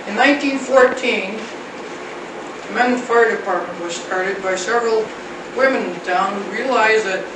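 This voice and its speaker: American guy w/ high voice reading a history to a meeting, echoey old tape, hissy. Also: normal speed. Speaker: fire company captain presenting a history. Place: meeting room with a small audience. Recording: noisy old reel-to-reel tape, echoey, distant mic.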